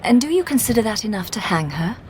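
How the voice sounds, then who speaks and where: A woman's voice: fairly high pitch, very animated, darkish tone. Shrewd, composed Victorian lady detective. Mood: shrewd, probing. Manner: measured, probing, dryly questioning. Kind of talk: period radio drama acting. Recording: clear background noise.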